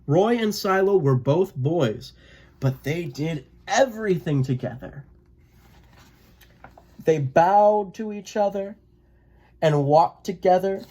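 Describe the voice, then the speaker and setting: A person reading a children's picture book aloud in a gentle, expressive way. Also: medium pitch, takes it slowly, long pauses. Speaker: read-aloud storyteller.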